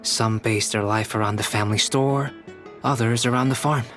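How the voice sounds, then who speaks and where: A man playing a calm, soft-spoken young scholar, an artificial human in a video game, speaking formally and reflectively. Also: medium pitch, close to an everyday voice. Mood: calm, thoughtful. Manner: measured, reflective, formal diction. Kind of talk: scripted video game dialogue. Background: background music.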